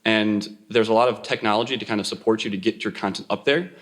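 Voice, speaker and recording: A man from Wisconsin with an American accent and a fairly low voice. He talks conversationally as an IT consultant on a panel. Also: steady tone, fast.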